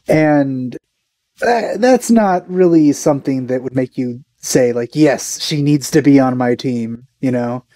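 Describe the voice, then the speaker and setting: Young man, mellow tone, casual reaction host, musing and understated, dry close recording. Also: mid-range voice, takes it slowly, no room sound. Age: young adult.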